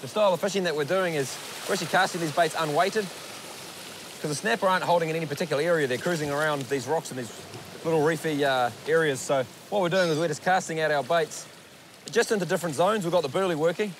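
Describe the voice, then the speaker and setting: A man's voice: a little high, background noise. A man with a New Zealand accent explaining land-based fishing while standing on coastal rocks. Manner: quick, explanatory, speaks with fillers. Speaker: fishing show presenter. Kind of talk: casual outdoor fishing explanation. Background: music.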